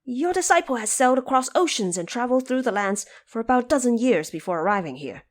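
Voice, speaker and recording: A woman playing an eager, naive male monkey king in an audio drama, earnest and excitable. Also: a little high, quick pace, voice pushed up, natural voice.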